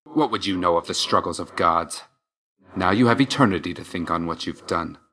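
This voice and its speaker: A man's voice: sits low, moderate pace, pushed and bright. A man voicing a stern, brooding young god of death in a video game, speaking in a curt, restrained, solemn way with dry understatement. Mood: cold, menacing. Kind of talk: scripted video game voice lines.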